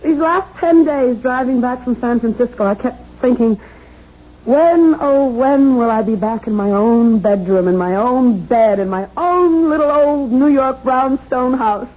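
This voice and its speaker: A high-pitched young bride, chatty, anxious and earnest, in the theatrical manner of 1970s radio drama. The broadcast recording is dull and narrow. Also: female voice, a little slow, a little mellow. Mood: happy, affectionate.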